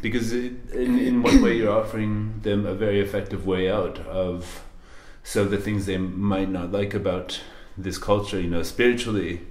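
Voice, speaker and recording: Man, lowish voice, casual interviewer, rambling, hesitant, roomy echo. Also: normal speed, hardly any pauses, darkish tone. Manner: rambling, hesitant, many fillers and restarts.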